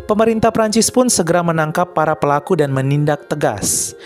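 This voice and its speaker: A man reading a scripted news report as a voice-over, in a measured and earnest tone. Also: on the high side, expressive. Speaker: channel narrator. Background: faint background music.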